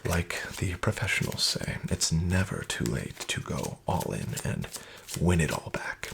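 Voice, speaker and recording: A man in a soft-spoken roleplay as a smooth, flattering casino dealer, often whispering and slyly nudging the listener to bet more. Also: low voice, unhurried, noisy background. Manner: whispery, with much unvoiced soft speech. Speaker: ASMR roleplay creator playing a casino dealer.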